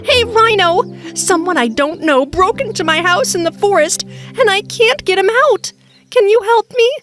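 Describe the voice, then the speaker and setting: Woman voicing a squeaky-high pleading little rabbit. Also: animated, moderate pace, a bit bright, faint music behind. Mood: distressed. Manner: pleading, exclamatory. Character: small cute female rabbit, pleading and desperate.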